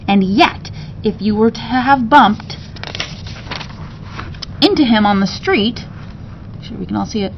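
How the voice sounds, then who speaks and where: Woman doing a storytime read aloud, expressive, highish voice, bit muffled with some hiss. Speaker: teacher reading aloud to students.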